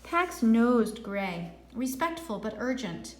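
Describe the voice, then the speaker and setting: A woman reads a story aloud in a roomy, echoing space. Her voice sits a little high, and she takes her time, drawing words out expressively like a teacher reading to a class. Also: sing-song, slow, slight background noise. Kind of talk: storybook read-aloud narration with brief asides. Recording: echoey, roomy sound.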